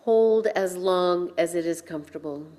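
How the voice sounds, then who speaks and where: A woman minister delivering a sermon with measured, deliberate phrasing and pauses, guiding listeners in a reflective way. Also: lowish voice, warm tone. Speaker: minister leading a worship service. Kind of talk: sermon with guided breathing.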